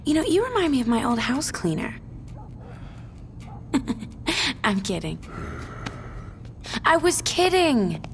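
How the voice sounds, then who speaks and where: A woman's voice: sits high, very animated, slow pace, long pauses, exaggerated voice. An actress playing the catty, mocking girlfriend of a gang boss in a video game, snide and teasing. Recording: game audio with background noise.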